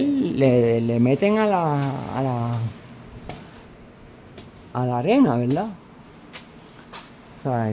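A man from Puerto Rico with a Puerto Rican accent, vlogging casually as he walks outdoors, halting, with many fillers and restarts. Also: mid-range voice, mellow, slight background noise. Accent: Puerto Rican Spanish. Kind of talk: casual walking vlog. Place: outdoors, walking.

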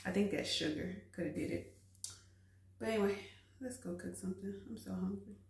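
A woman's voice: mid-range voice, brisk, vocal fry, slight background noise. Chatty woman vlogging in an echoey home. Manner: chatty, laughs often, talks to viewers directly. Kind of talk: casual cook-with-me vlog. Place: home bathroom, then kitchen. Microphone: phone camera at arm's length.

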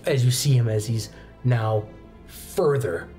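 A young man narrating a tabletop roleplaying game as game master, telling the story in a measured way with pauses. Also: mid-range voice, mellow. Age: young adult. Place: indoor studio set, seated at a desk. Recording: background music under the voice.

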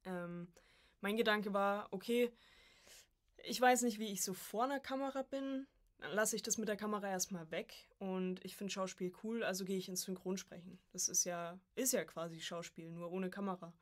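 A woman's voice: medium pitch, normal speed. A woman in her early twenties from Germany, talking informally as a podcast guest about herself. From Coburg, Germany. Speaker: podcast guest, voice acting student. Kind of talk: casual chat, personal anecdotes.

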